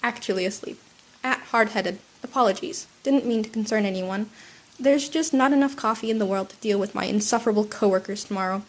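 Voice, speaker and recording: Woman reading a tired deadpan male hero. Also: mid-range voice, brisk, lightly acted. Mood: dry. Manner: dry, deadpan. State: sick, slightly sniffly. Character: tired gruff male hero, dry and blunt.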